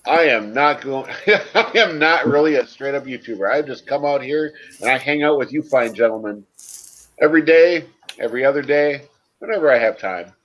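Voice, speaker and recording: A man with a slightly raspy voice, casually telling stories on a stream in an easygoing way. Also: mid-range voice, normal speed, a bit bright. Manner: easygoing storyteller. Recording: dull lo-fi mic.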